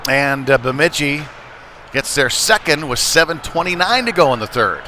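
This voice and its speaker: A man's voice: fairly high pitch, expressive, moderate pace, bright, forceful tone, a little hiss or hum. A man giving live play-by-play commentary of a youth ice hockey game, with conversational, folksy asides.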